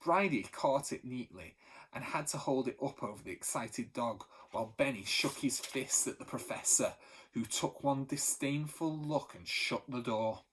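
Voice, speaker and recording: A male teacher reading a children's storybook chapter aloud, steady and expressive, lifting his voice for the characters. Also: mid-range voice, moderate pace, husky, rough recording.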